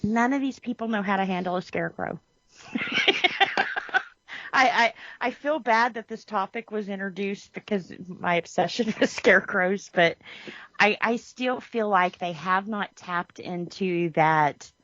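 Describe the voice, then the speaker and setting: A woman co-hosts a casual podcast in an unhurried, slow way, often laughing and letting sentences trail off. Her voice sits close and dry, with a slightly dull, muffled tone. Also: medium pitch, fairly bright tone, no echo. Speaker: podcast co-host. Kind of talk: casual chat.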